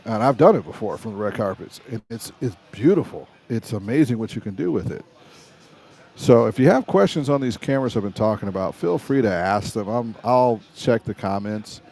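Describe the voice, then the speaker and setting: A middle-aged man with a raspy voice, hosting a casual live stream and rambling in a chatty way with frequent restarts. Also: lowish voice, lively intonation, a little fast, a little mellow.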